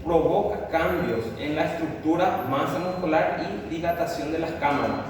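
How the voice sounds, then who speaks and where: A man's voice: a little high, moderate pace, noisy background. A man lecturing a class in Spanish, walking through exam questions with a patient, explanatory manner and frequent tag questions. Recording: roomy classroom audio from a distant mic.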